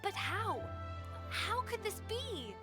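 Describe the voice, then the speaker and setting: A woman's voice: extremely high pitch, very animated, slow pace, music in the background, exaggerated voice. A woman playing a concerned, earnest young boss in a video game. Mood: shocked.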